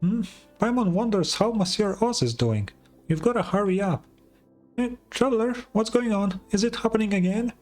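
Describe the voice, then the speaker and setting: A tiny, chirpy fairy girl put on by a man chatters in a high, squeaky voice. She sounds excitable and impatient, in a cartoonish game style. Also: moderate pace, faint music behind. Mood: eager, concerned. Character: small childlike female fairy companion, chirpy and impatient. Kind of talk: cartoonish fantasy game dialogue.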